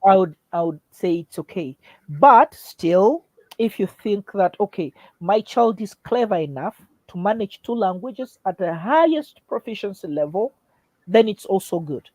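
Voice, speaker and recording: Guy explaining schools on a video call, dark mellow voice, dry sound, keeps restarting his sentences. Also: high-pitched, lively intonation, unhurried, no echo, low-quality recording. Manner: explanatory, hesitant restarts, affirming. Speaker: invited education expert. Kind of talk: online panel discussion.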